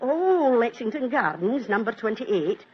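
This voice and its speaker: Casting: respectful housekeeper, fairly high voice, homely, good-hearted, muffled vintage radio play. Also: female voice, big pitch swings, unhurried. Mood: homely, dutiful. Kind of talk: 1940s radio drama acting. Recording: muffled, hissy old radio broadcast.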